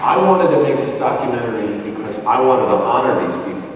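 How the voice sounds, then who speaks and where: A man giving earnest, measured interview talk as a documentary maker, repeating phrases for emphasis. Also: medium pitch, animated. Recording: echoey, distant, faint ambient noise.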